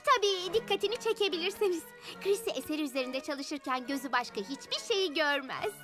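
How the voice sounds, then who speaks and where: A very high, lively voice for an upbeat, confident cartoon girl. She is enthusiastic and encouraging, swinging widely in pitch as she leads the way. Music plays clearly behind her. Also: fairly quick. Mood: bright. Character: upbeat, confident, helpful young girl leader. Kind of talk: animated children's cartoon dub.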